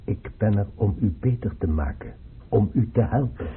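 Deep-voiced, calm mysterious healer. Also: male voice, moderate pace. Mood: calm, insistent. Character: mysterious calm male healer guiding her back in time. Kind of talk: Dutch radio drama acting. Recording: noisy phone-like old radio recording.

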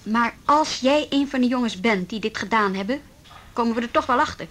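A woman acting a brisk, persistent policewoman in a radio drama, firm and probing as she questions people. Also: a little high, lively intonation, a bit bright. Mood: pressing, insistent. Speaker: police officer.

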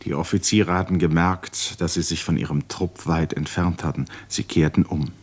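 A man narrating a literary radio play in a contemplative, measured manner, letting phrases fall away softly. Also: sits low, steady tone, slightly rough.

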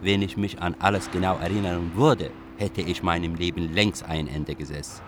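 An actor playing a thoughtful young male refugee writer, narrating a radio drama with wry, deliberate melancholy. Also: sits low, fairly even intonation, heavy background noise, lightly acted. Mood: wry, reflective. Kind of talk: literary first-person radio drama narration. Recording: music bed behind voice.

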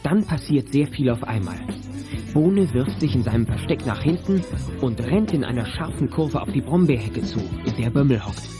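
An actor as the narrator of a suspenseful German youth detective radio play, reading scripted narration. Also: male voice, mid-range voice, natural voice. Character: male storyteller of a suspenseful youth detective tale. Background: music.

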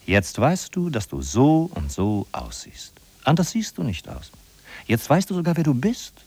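An actor playing a sardonic narrator in a 1950s German radio play, ironic, declamatory and emphatic. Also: male voice, mid-range voice, wildly swooping pitch, unhurried, darkish tone, exaggerated voice. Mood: mocking, insistent. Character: sardonic male narrator of a bleak big-city inventory. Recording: husky-sounding 1950s radio recording from cassette.

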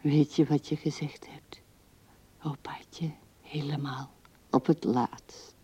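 An actress playing an old widow musing tenderly and haltingly at her husband's grave in a radio drama, her soft voice often dropping to a hush. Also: deep voice, flat delivery, husky, heavily acted. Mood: tender, grieving. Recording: dull old radio recording.

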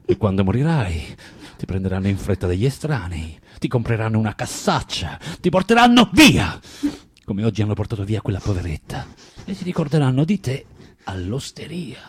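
An actor playing a bitter, tormented man in a dramatic theatrical reading for radio, moving between hushed murmurs and outbursts. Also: lowish voice, sing-song, normal speed, breathy edge, heavily acted. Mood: cruel, menacing. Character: bitter, tormented man, preaching and cruel, then remorseful.

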